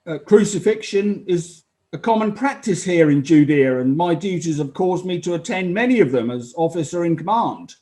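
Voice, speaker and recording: Man playing a solemn, higher-voiced Roman officer. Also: animated, dark tone, close to an everyday voice. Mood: matter-of-fact. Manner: halting, measured, solemn witness delivery. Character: sober, earnest Roman army officer testifying at inquest. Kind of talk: dramatized courtroom testimony.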